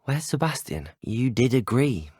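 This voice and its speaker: Casting: earnest British teenage wizard student, game protagonist; lively intonation, slightly husky, close dry sound. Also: male voice, mid-range voice, a little slow, no room sound. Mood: concerned. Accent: British English. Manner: polite, articulate, well-spoken. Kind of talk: video game dialogue acting.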